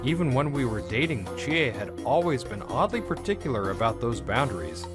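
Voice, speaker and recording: A man's voice: medium pitch, big pitch swings, brisk, lightly acted. Man acting bitter young narrator, music behind. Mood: tense, reflective. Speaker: narrator and lead character. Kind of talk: scripted first-person comic dub narration.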